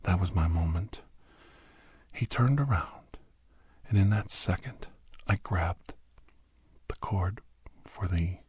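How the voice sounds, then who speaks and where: A man playing a captive doctor who grimly recounts his escape, half-whispered in a breathy ASMR horror narration with halting, deliberate phrases. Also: deep voice, a little mellow, dry recording, exaggerated voice. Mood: grim suspense. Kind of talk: first-person scripted horror narration, ASMR.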